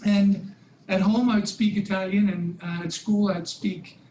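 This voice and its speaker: A man's voice: sits high, steady tone, a little slow, mellow, echoey room, slight background noise, low-quality recording. A man in his forties from Belgium, whose first language is Dutch, giving a talk on a video call with many fillers and restarts. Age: 40s. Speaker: guest speaker, hotel company president.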